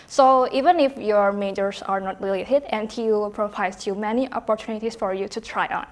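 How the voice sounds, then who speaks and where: A woman's voice: mid-range voice, fairly even intonation, normal speed. Chatty young Indonesian woman. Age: young adult. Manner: chatty, fills speech with "like". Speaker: student panelist. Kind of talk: casual panel chat.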